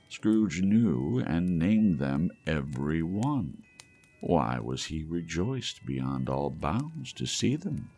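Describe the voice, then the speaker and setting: A man reading a classic story aloud as audiobook narrator, in a slow, measured, soothing manner. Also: extremely low pitch, gravelly, mellow, breathy edge. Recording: faint music behind.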